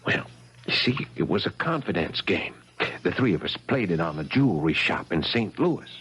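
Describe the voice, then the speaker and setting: Desperate small-time thief, husky and urgent, swooping pitch, 1970s radio drama. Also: male voice, big pitch swings, normal speed, a bit bright, full character voice. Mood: sly.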